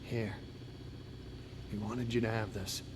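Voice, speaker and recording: A man's voice: flat delivery, takes it slowly. A man playing a plainspoken character in a dramatic video game cutscene. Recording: clear rumbling background noise.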